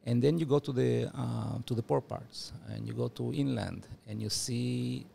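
A man in his forties, originally from Uruguay, talking in a podcast interview with halting restarts and fillers, on a studio mic. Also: mid-range voice, steady tone, creaky, mellow, a little hiss or hum. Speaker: guest, former diplomat. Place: studio office room. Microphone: studio mic with headphones.

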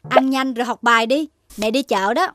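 A woman voicing a brisk, firm animal mother in a children's cartoon. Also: fairly high pitch, a bit bright, slight background noise, lightly acted. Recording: music behind the voice.

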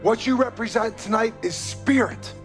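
A man's voice: very high-pitched, moderate pace, faint music behind. A man playing a fatherly veteran football coach in a game cutscene, giving a plain-spoken, emphatic, resolute pep talk. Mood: rousing.

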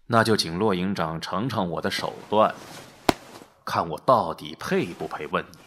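Man acting a lowish-voiced, sly interrogator. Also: moderate pace, noisy background, close to an everyday voice. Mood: menacing. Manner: mock-courteous, insinuating. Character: sly, menacing male military interrogator, mockingly polite. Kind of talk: Chinese audio drama acting.

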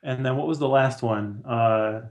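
A man's voice: medium pitch, a little slow, a little mellow, dull audio. A man in his thirties chatting casually as a guest on a video call. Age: 30s. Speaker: guest, comics artist. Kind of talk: casual chat. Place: small room at home or office. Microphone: video call, headphones.

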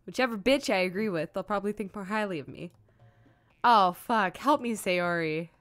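A woman's voice: medium pitch, normal speed, fairly bright tone. A woman game streamer, chatting casually and reading lines aloud as she plays. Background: game music.

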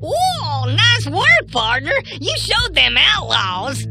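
A woman's voice: fairly high pitch, unhurried, music in the background. A woman voicing a scatterbrained, feisty chicken-like townsperson in a western video game, with a rustic American drawl and a squawky voice. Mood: relieved, excited. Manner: folksy, excitable.

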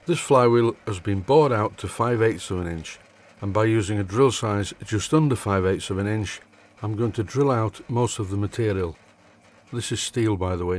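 An older man with a Yorkshire accent, reading a measured workshop voice-over with dry humour. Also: on the low side, a little mellow. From Yorkshire, England. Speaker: hobby machinist presenter.

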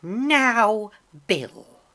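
Woman voicing a slow, menacing blind old beggar man. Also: fairly high pitch, animated, pitched above the performer's own voice, heavily acted. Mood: coldly commanding. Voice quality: soft-spoken, cold. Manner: drawn-out odd sing-song, sneering.

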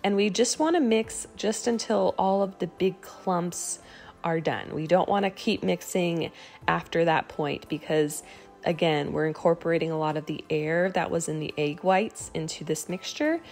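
A woman's voice: lowish voice, normal speed, a little mellow, faint music behind. An American woman giving a casual recipe voice-over in a conversational, explanatory way, as a home baking vlogger.

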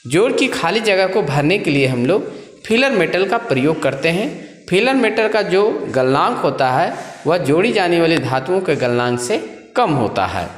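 A man with a fairly high voice reads through a trade lesson line by line, stopping to explain the points. The recording has a roomy echo and a long tail of reverb. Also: sing-song, moderate pace. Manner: explains step by step, reading from a page. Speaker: trade instructor. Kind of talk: reading out a textbook lesson. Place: voice-over over scanned book pages. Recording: echoey room with long reverb.

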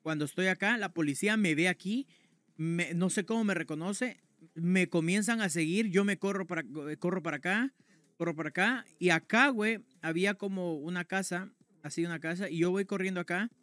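Young man, game streamer, slightly high voice, casual slangy storytelling, close dry mic. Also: brisk, a bit bright, no room sound. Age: young adult. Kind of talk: casual personal storytelling to a live chat. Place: indoor room at home.

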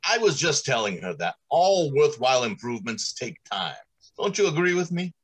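Husky, animated, self-assured director played by a man. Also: medium pitch, moderate pace. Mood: brisk. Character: confident, dismissive factory director and husband. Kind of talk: old-time radio drama acting. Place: small room at home, on a video call.

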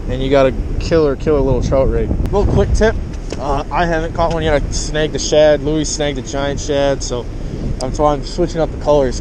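A young man with a slightly high voice chats casually as he fishes from a lakeside pier. He gets excited and curses when fish miss his bait. Wind and rushing water hiss clearly over his head-mounted camera mic. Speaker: fishing vlogger. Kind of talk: casual outdoor vlog. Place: outdoors on a windy lakeside pier. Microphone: head-mounted action camera. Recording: wind noise outdoors.

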